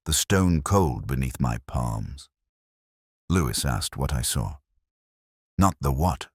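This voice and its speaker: A man reading a scripted first-person detective story in a measured, brooding, literary manner. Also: very deep voice, husky, dry recording, clean studio sound. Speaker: narrator.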